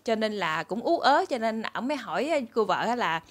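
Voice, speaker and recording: A woman's voice: on the high side, big pitch swings, normal speed. Chatty southern Vietnamese woman storyteller. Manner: chatty, conversational, addressing viewers as family. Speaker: channel host and storyteller. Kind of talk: casual storytelling to followers. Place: small room indoors.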